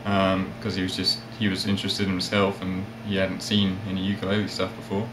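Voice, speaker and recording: A man of about thirty with a mixed South African, English and Australian accent, chatting casually and a little haltingly as an interview guest. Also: deep voice. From South Africa, with years in England and Australia. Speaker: interview guest, ukulele player and magazine editor. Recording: roomy echo, steady background noise.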